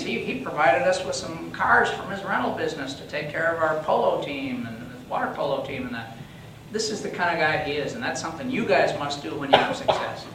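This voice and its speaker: Man, presenter, folksy, emphatic, far from the mic, echoey room. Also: fairly high pitch. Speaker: award presenter. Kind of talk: enthusiastic introduction speech. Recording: roomy hall, far from mic, noisy.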